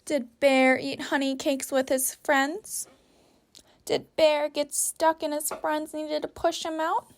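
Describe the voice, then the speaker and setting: Slow-paced, high-voiced woman teaching young children. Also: level tone. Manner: patient, simple sentences with guiding questions and pauses. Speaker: teacher leading a children's story activity. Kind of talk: instructional read-aloud for young children, step-by-step.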